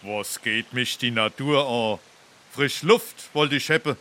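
A down-to-earth, mocking townsman in a dialect stage comedy. The voice is medium-pitched with a sharp, bright edge. He talks drily in broad Darmstadt Hessian. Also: slow. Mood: grumbling. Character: gruff, mocking working townsman, unimpressed drinking companion.

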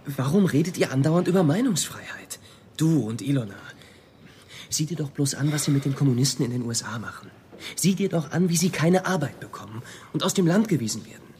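Actor as stubborn young communist man, very animated. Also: fairly high pitch, brisk, slight background noise, natural voice. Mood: indignant. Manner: earnest, insistent, swinging between hushed and heated. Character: idealistic young male communist student, stubborn, troubled. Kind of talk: naturalistic radio play acting.

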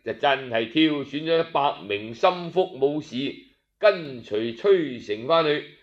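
A male Cantonese storyteller with a fairly high voice narrates at a slow pace. His delivery is colloquial and expressive, with drawn-out sentence endings. The audio is slightly dull.

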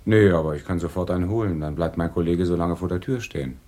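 An actor playing a wry, blunt police detective in a radio crime drama, dry and terse. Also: male voice, low-pitched, fast talker, mellow. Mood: firm, official.

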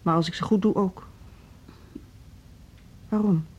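An actress playing a measured, firm school director in her fifties in a radio drama, deliberate and diplomatic. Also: lowish voice, fairly even intonation, a little mellow, breathy edge. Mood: hurt, weary. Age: 50s. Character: measured, firm middle-aged female music school director. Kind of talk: Dutch radio drama acting.

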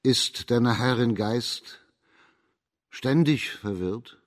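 An actor playing a composed, authoritative district judge in a German radio drama, questioning in a formal, matter-of-fact way. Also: male voice, medium pitch, extremely slow. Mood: probing, calm. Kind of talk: German radio play acting.

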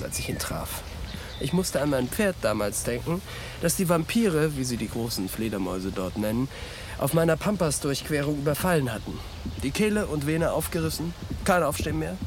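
An excitable, blunt gentleman adventurer in a radio drama. His highish voice leaps around theatrically as he talks, with clear background noise behind him. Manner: chatty, emphatic, theatrical. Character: blunt, excitable, hearty adventurer, a loyal male friend.

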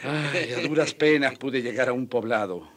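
An actor playing a weary but passionate middle-aged friar in a radio drama, speaking earnestly and declaiming. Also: male voice, mid-range voice, normal speed, fairly bright tone, close to an everyday voice. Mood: weary, dejected.

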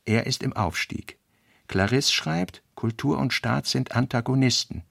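Guy narrating a radio play, creaky voice, very dry recording. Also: mid-range voice, unhurried, fairly bright tone, no echo. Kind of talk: scripted literary reading in radio drama.

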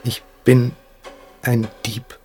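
A man's voice: mid-range voice, monotone, very slow, darkish tone, faint music behind. A man playing a meek, pleading cadet victim in a radio drama, submissive in manner. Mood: meek confession. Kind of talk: literary radio drama acting.

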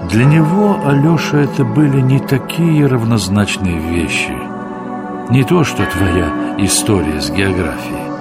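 An older actor playing a wise grandfather, narrating a radio play in a measured, instructive manner. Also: on the low side. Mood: solemn, instructive. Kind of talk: scripted storytelling narration. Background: prominent new-age music.

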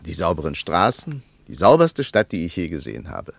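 A gravelly, guarded traveller. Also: male voice, low voice, huge pitch swings, a little fast, no echo, exaggerated voice.

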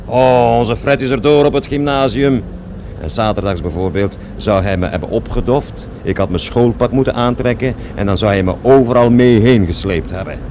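A man's voice: medium pitch, normal speed, noisy background, natural voice. An actor in a radio play playing an aggrieved, rambling man who recalls his past in conversational, self-correcting speech. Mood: indignant. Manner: digressive, self-correcting, repeats words emphatically.

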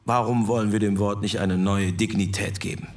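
An actor playing a learned, assured delegate in a radio docudrama, formal, articulate and insistent. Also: male voice, fairly low pitch, fairly even intonation, a little fast, music in the background, lightly acted. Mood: assured, arguing. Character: learned, assured male delegate in constitutional debate. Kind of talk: scripted docudrama debate.

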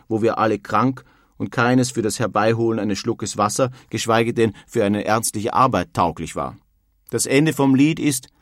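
Actor as a disillusioned German emigrant of the 1800s. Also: male voice, medium pitch, no room sound, clean studio sound, close to an everyday voice. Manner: formal, old-fashioned wording. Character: disillusioned 19th-century German emigrant man writing letters home. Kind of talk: dramatic reading of historical letters.

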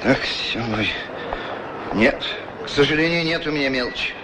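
A man acting a suave, effusive, flattering gentleman in a 1960s radio play. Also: medium pitch, moderate pace, natural voice. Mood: polite, brisk. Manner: gallant, effusive. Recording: noisy old radio drama recording.

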